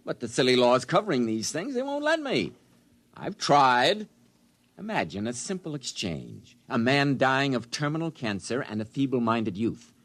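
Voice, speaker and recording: Wildly animated, callous mad scientist. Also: male voice, medium pitch, normal speed, no echo. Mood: indignant, fanatical. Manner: theatrical, wheedling, contemptuous. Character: eccentric, callous male mad scientist, fanatical and cajoling. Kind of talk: 1950s radio drama acting. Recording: vintage 1950s radio broadcast.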